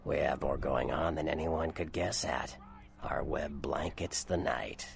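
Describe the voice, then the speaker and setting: Very deep, gravelly vampire informant. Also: male voice, fairly even intonation, unhurried, slight background noise, full character voice. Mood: proud. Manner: wry, slangy, blunt. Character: cynical male vampire informant, loyal, streetwise, sardonic. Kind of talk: video game character dialogue.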